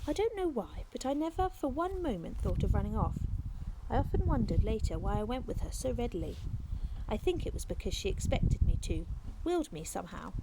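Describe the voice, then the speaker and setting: A woman reading a children's novel aloud as narrator, in the expressive manner of a teacher reading to pupils. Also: on the high side, a little fast, noisy background.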